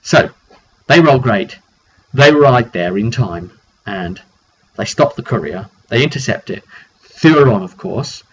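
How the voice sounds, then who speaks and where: A man's voice: mid-range voice, animated, a little slow, a bit bright. A British man casually recounting a tabletop roleplay session as game master, telling it off the cuff with dry, wry asides. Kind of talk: casual unscripted recap to camera. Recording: dull lo-fi sound with clear background noise.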